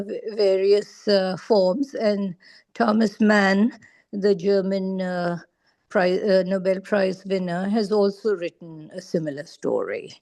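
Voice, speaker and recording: A woman speaks slowly in an informal group discussion. Her voice is soft-edged and relaxed, with noticeable fry. She works through her points one at a time, pausing to find words. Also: mid-range voice. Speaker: book club participant giving comments.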